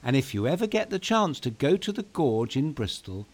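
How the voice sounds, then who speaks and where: A man's voice: mid-range voice, sing-song, normal speed. A man narrating a scripted children's story in a playful, theatrical manner, speaking directly to young listeners. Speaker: storyteller and host of a children's bedtime podcast. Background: music.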